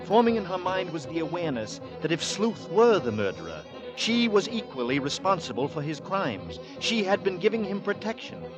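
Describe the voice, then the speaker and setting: An actor as the grave, suspenseful storyteller of a horror tale, narrating ominously in 1940s radio style. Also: male voice, high voice, big pitch swings, normal speed, hardly any pauses. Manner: dramatic, ominous. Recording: dull 1940s radio recording. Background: loud dramatic music.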